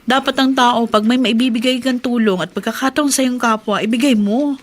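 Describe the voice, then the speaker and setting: An actress playing a kind, chatty and principled schoolteacher wife in a radio drama, speaking frankly and encouragingly. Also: female voice, fairly high pitch, steady tone, a little fast, close to an everyday voice. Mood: firm, persuasive. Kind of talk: Filipino radio drama acting.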